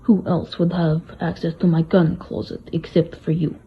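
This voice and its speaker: A man's voice: fairly high pitch, flat delivery, moderate pace, slight background noise, natural voice. A man playing a grave, stoic ex-military Russian butler, speaking tersely and formally in an amateur radio play on a muffled microphone. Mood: accusing, grave. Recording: muffled, dull microphone sound.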